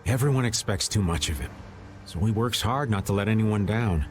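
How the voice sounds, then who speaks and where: A man's voice: lowish voice, a little fast, slight background noise, close to an everyday voice. A man playing a roguish, easygoing middle-aged rascal in a video game, playful and world-wise. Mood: world-weary. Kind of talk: video game dub acting.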